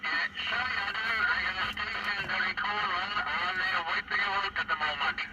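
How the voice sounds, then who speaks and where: A man's voice: high-pitched, normal speed, loud music behind the voice. A man chatting casually as an operator over a two-way CB radio transmission. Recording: very noisy, distant CB radio link.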